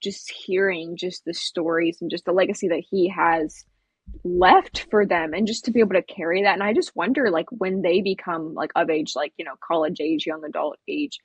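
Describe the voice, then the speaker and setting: A woman's voice: on the low side, normal speed, slightly rough, dry recording. A young woman on a discussion panel, talking casually in an earnest, reflective way. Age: young adult. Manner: earnest, reflective, frequent "like" and "just". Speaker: talk show panelist.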